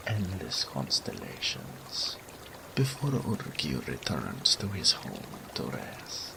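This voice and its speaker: A man playing a suave, flirtatious naga oracle in a roleplay audio drama, formal and languid, purring and sometimes breathy, speaking of himself in the third person. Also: rumbling low, exaggerated voice. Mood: languid, content. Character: suave, flirtatious male naga oracle, self-assured and teasing. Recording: steady water and ambience noise.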